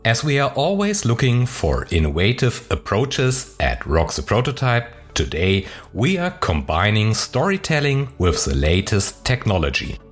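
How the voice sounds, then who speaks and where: Lively low-voiced male podcast host. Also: a little slow, few pauses, soft background music. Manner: upbeat, promotional delivery. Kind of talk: scripted podcast introduction.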